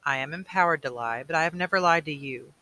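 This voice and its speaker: A man reads as a weary elder mentor in a storybook. He speaks with grave, measured authority in a clear-edged, very bright voice, earnest and firm. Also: on the high side.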